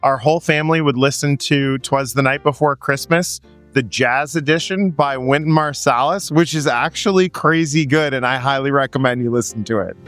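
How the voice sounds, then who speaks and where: A man's voice: medium pitch, moderate pace, a bit bright, soft background music. A man from Ottawa enthusiastically describing a family holiday tradition. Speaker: guest sharing a tradition.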